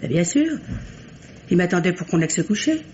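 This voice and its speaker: Hesitant, nervous household maid. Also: female voice, lowish voice, big pitch swings, brisk, a little mellow. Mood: anxious recollection. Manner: hesitant, simple, colloquial. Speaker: radio actor. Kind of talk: old French radio mystery drama acting. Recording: muffled, noisy old radio recording.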